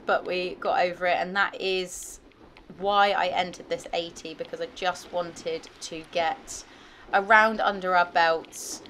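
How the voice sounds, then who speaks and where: A woman's voice: on the low side, a bit bright, a little hiss or hum. A young woman with a British accent, an equestrian vlogger giving chatty, upbeat voice-over commentary on her own showjumping round. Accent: British English. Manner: chatty, upbeat, self-reflective.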